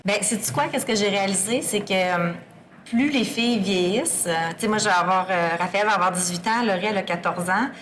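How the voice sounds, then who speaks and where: A woman's voice: medium pitch, fairly quick, a little hiss or hum. Chatty Québécois woman podcast host. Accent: Québécois French. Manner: warm, chatty, laughs easily. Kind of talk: casual podcast conversation.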